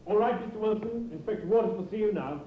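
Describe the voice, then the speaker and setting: A man's voice: sits high, animated, quick pace. An actor playing a deferential, dutiful male police sergeant in a vintage radio drama, clipped in manner. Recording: tinny, noisy 1950s radio recording.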